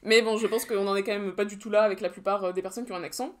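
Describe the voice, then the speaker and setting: A woman's voice: mid-range voice, fairly even intonation, racing. A young woman co-hosting a podcast, talking through prepared points in casual chat with a dry, ironic edge. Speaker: podcast co-host.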